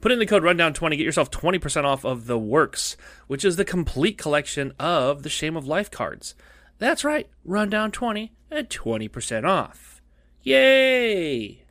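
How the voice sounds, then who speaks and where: A man's voice: fairly high pitch, sing-song, normal speed, a bit bright, dry recording. A male podcast host with a cough, chatting casually in a rambling, jokey way that keeps repeating itself. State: coughing, losing his voice.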